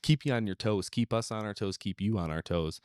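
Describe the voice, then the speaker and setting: A man's voice: fairly low pitch, fairly quick, clean tone, no echo, studio quality. A man co-hosting a podcast, talking casually and without a script. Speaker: podcast co-host. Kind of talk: casual, unscripted podcast chat.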